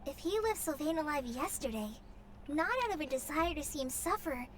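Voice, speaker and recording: A woman's voice: high-pitched, expressive, brisk, a little hiss or hum. A woman voicing an anxious, earnest young girl in an anime-style detective game. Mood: alarmed.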